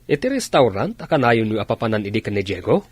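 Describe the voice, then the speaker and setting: A man's voice: lowish voice, big pitch swings, fast talker, slightly rough, no room sound. A man playing an encouraging, teasing superior officer in a radio drama.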